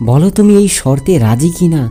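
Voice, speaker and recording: Guy doing a talking magic tree, solemn n kindly, really soft dark tone, cartoon fairytale w music. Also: on the high side, lively intonation, normal speed. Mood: solemn bargaining. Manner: solemn, declarative, repeating phrases. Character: stern but kindly magic tree spirit.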